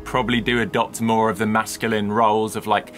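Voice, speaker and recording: A man's voice: on the low side, flat delivery, a little fast, fairly bright tone, faint music behind. A man in his thirties chatting on a vlog with his wife, reflective and thinking aloud, recorded outdoors in a garden. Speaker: vlogger, co-host. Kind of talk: casual chat.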